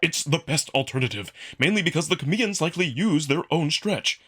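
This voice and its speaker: A man voicing a proud, earnest wolf alien in a visual novel drama, formal and emphatic, quick to defend himself. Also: fairly high pitch, lively intonation, fairly bright tone, breathy edge. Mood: earnest, reassuring.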